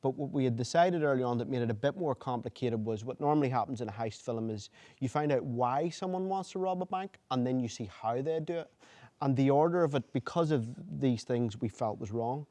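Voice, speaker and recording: A man's voice: mid-range voice, fairly quick, warm tone. Male filmmaker, panel guest, loose and rambling delivery. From Northern Ireland. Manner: rambling, lots of restarts and "you know". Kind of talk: panel discussion.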